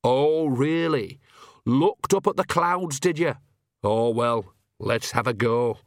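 A man's voice: medium pitch, slow pace, dry recording, clean studio sound. A man voicing a bossy, cheerful old woman, a witch with a piercing voice and blunt, rustic, teasing talk in a storybook. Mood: sharp.

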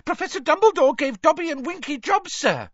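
A tiny male house elf from a storybook, squealing with eagerness to please. The voice sits extremely high and shrill and swoops about excitedly. He is servile and earnest, heard in a dry, slightly dull recording. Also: a little fast, no room sound. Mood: excited, eager. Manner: excited, eager, speaks of itself in third person.

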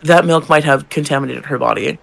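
Gravelly young man telling retail stories. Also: on the high side, brisk. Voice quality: gravelly, feminine-leaning voice. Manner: animated, swearing, acts out customers' lines. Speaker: podcast co-host, drag queen. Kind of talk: casual storytelling chat.

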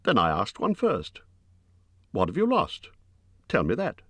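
A man's voice: mid-range voice, huge pitch swings, a little slow, a bit bright, slightly rough, no echo, pitched above the performer's own voice, heavily acted. A man voicing a small, plucky storybook adventurer who protests insistently in a raised, exclaiming voice. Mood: annoyed, persistent.

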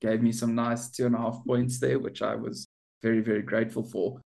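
A man co-hosting a relaxed sports podcast. He talks in an easy, bantering way and often laughs at his own jokes. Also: mid-range voice, brisk, vocal fry. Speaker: podcast co-host. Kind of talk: casual sports chat.

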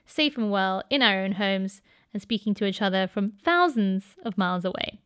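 A woman's voice: mid-range voice, animated, moderate pace, fairly bright tone, soft background music. A woman hosting a podcast, interviewing a friend over a video call with some hesitant fillers. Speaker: podcast host. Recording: lo-fi call audio.

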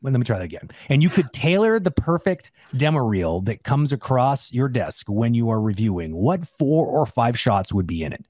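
A man's voice: medium pitch, very animated, moderate pace, few pauses, a little mellow, no echo. Casual, emphatic man in a dry room. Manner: rambling, emphatic, informal phrasing. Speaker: animator and Q&A co-host. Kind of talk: casual unscripted Q&A discussion.

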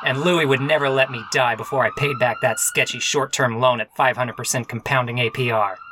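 An actor playing a sardonic, melodramatic hard-boiled private detective in a parody radio mystery. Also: male voice, mid-range voice, a little fast, noisy background, lightly acted. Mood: smug.

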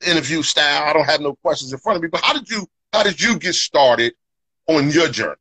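Bright, upbeat podcast host, dry close mic. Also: male voice, on the high side, animated, fairly quick, breathy edge, dry recording. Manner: upbeat, filler-heavy, repeats "you know what I'm saying". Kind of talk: casual live podcast chat. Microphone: podcast microphone.

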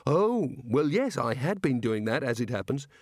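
A man's voice: medium pitch, sing-song, moderate pace, dry recording, clean studio sound, pitched above the performer's own voice. A man voicing a hapless, anxious male prisoner in a comic audiobook, fretful, hesitant and sardonic. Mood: weary, irritated.

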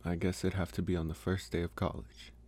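A man playing a lonely, gentle young college vampire in an intimate ASMR roleplay, speaking softly and haltingly with pauses. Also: low voice, flat delivery. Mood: reflective, hesitant. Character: lonely, soft-spoken young male college vampire, politely devoted. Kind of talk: ASMR roleplay, intimate one-sided dialogue.

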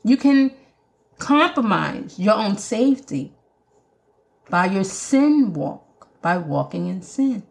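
A woman with a Jamaican accent talks to her podcast listeners in a preaching way. Her voice has a gravelly fry, and her delivery is earnest and emphatic, with some restarts. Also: medium pitch, sing-song, slow. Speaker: podcast host.

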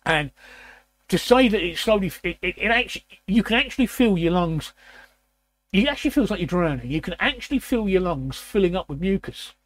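A man in his sixties with a croaky, raspy voice, hosting a casual chat and often clearing his throat. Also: high voice, lively intonation, brisk, fairly bright tone, no echo, poor audio. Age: 60s. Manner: repeats words, frequent throat clearing. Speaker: host.

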